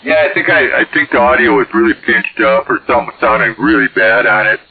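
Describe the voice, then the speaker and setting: A man's voice: mid-range voice, brisk, gravelly, slight background noise. A man chatting casually over CB radio in a hesitant, folksy way. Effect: radio transmission. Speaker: CB radio operator. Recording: tinny, band-limited CB radio signal.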